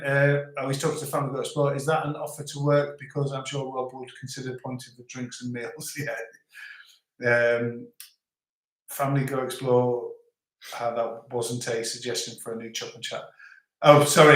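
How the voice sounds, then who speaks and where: A man's voice: mid-range voice, level tone, moderate pace, a bit bright. Live-stream host, man, toned-down Scouse accent, loose rambling chat, in an echoey room. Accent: softened Scouse. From Liverpool, England. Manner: chatty, rambling, stops and restarts. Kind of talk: casual live chat reading out viewer comments. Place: home in the French countryside. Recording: roomy echo.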